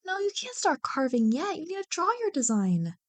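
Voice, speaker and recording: A woman playing a playful, teasing young childhood friend who loves Halloween, in an animated, bantering ASMR roleplay. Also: sits high, moderate pace. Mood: mock-exasperated. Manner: animated, bantering, mock-exasperated. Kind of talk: ASMR roleplay, one-sided scripted conversation.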